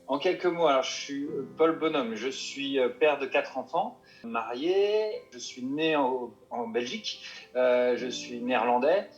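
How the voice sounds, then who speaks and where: A mountain guide answering interview questions, hesitant and full of restarts and fillers. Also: male voice, mid-range voice, animated, unhurried, highs cut off, faint music behind. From Paris suburbs.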